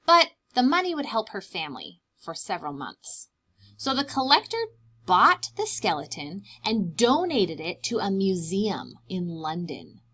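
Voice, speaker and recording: A woman's voice: medium pitch, fairly bright tone. A young woman reading a picture book aloud to small children, with dramatic emphasis and simple explanatory asides. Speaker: preschool teacher reading aloud.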